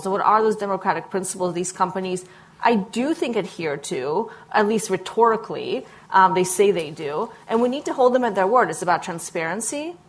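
A woman of middle pitch answers an audience question from a conference panel. She is articulate and engaged, laying out her case in long, ordered sentences. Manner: articulate and engaged, building long structured arguments.

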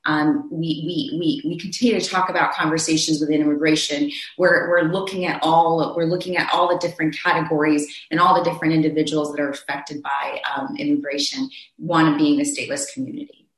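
A man's voice: on the high side, flat delivery, a little fast, fairly bright tone, echoey room, poor audio. A man speaking earnestly on a panel discussion, telling his own story, often restarting his phrases. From Zambia.

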